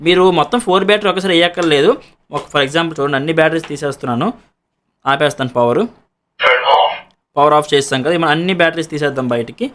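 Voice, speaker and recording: Very fast-talking man demonstrating a product. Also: medium pitch, a bit bright. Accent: Telugu. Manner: explanatory, repetitive, mixes in English words. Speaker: product reviewer and seller. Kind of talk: casual product demonstration to camera.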